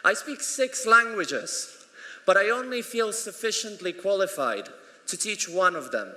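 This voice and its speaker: A man whose first language is Polish delivers a conference keynote in a high, bright voice. He is earnest and emphatic, with touches of dry humour. The sound carries a long echo from the hall. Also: lively intonation, measured pace. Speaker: conference plenary speaker. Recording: echoey hall.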